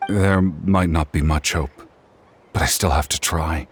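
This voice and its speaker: A guilt-ridden veteran warrior in a video game dub. His low voice is earnest, measured and resolute, with faint ambient noise behind it. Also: steady tone.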